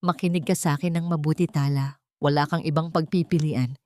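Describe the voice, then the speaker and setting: A woman's voice: low voice, fairly even intonation, brisk, mellow, close to an everyday voice. A woman with a Filipino accent voicing a cruel, greedy older aunt who commands and threatens in an audiobook drama. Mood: menacing.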